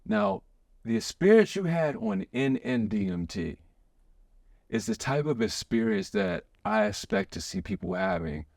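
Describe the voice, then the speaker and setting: Gravelly low-voiced man, rambling host. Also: unhurried, no room sound, clean studio sound. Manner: excitable, rambling with many restarts and fillers. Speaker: podcast host. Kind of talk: casual chat.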